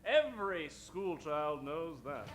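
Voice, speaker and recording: An actor playing an earnest, protective pulp adventure hero in a melodramatic old-time radio comedy. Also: male voice, on the high side, very animated, slow, a bit bright, faint music behind, full character voice. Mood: explaining, confident.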